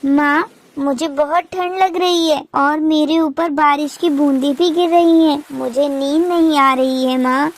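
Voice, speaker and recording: A woman's voice: high-pitched, fairly even intonation, measured pace. A woman voicing a pleading little girl bird in a moral-story cartoon, whining and insistent. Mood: miserable.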